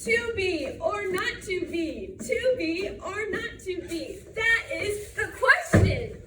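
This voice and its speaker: A woman puts on a peppy cheerleader brother for a stage monologue. The chant is high, loud and stretched out, turning verse into a rally cheer. It sounds roomy and far from the mic. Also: animated, exaggerated voice. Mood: rousing. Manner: loud drawn-out rhythmic chanting. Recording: roomy, distant mic, faint noise.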